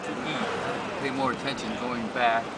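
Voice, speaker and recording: Guy rambling about miles and times from the driver's seat, far off the mic, loud road noise. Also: medium pitch, level tone, measured pace, vocal fry. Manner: rambling, thinking aloud, checking times and miles. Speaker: support driver narrating a charity bike ride. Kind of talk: casual running commentary from a car. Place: inside a moving car. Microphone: dashboard camera mic.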